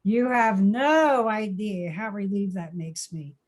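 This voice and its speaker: A woman's voice: medium pitch, slow, a little mellow. A woman playing a worried, exasperated friend in a drama table read, speaking urgently. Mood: anxious. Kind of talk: screenplay table read acting.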